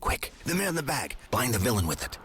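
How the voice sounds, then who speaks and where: An actor playing a composed gentleman detective in a video game, husky and purposeful as he guides the player. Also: mid-range voice, sing-song, quick pace, full character voice. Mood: urgent. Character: composed, deep-voiced gentleman detective companion. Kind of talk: video game character acting.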